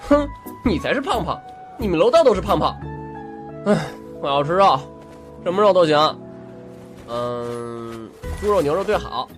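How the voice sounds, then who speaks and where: A man's voice: a little high, big pitch swings, normal speed, long pauses. An actor playing a petulant, food-loving young male friend who blusters and whines in slangy comic audio drama bickering. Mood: sulky, hungry. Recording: music loud under the voice.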